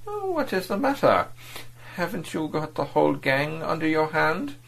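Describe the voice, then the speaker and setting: A man reads this part, raising his voice into a polished, scornful diplomat who pitches his lines high and lets them leap and fall. His tone is cool and menacing, with a gravelly edge under the mockery. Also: big pitch swings, normal speed, fairly bright tone, full character voice. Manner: mocking, sneering, overbearing. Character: suave, cynical, menacing male foreign diplomat.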